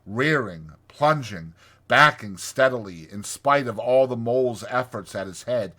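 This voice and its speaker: The man you would hear on a dramatized audiobook of an old children's classic, telling the tale theatrically in a lowish, keen-edged voice. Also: a bit bright. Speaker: audiobook narrator. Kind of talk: dramatized storybook narration.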